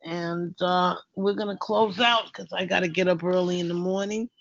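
A man's voice: sits high, steady tone, moderate pace, gravelly, fairly bright tone, no echo. A man hosting a prayer community call in a casual, conversational way. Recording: dull, lo-fi call audio.

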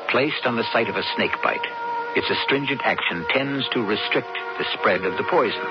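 An actor playing a grave, theatrical mystery host, narrating a radio drama in portentous, deliberate phrases. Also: male voice, lowish voice. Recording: muffled old radio, loud music behind.

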